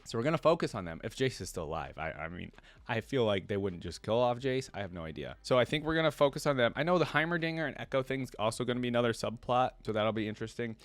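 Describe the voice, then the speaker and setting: A man hosting a reaction video, talking casually and unscripted to viewers, rambling and speculating as he thinks out loud. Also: medium pitch, animated, fast, no room sound. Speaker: reaction video host, fan commentator.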